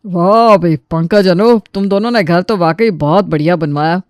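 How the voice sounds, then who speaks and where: A woman voicing a genial, approving older father-in-law in an animated family story. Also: lowish voice, normal speed, no room sound, clean studio sound, natural voice. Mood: delighted.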